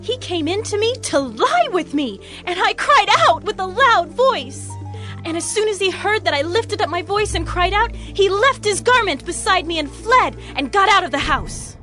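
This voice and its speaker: A very high, shrill voice of a scheming Egyptian wife acted by a woman. She delivers her indignant accusations theatrically in a scripture drama, with music underneath. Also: expressive, normal speed, bright, forceful tone, full character voice. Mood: tearful, indignant accusing.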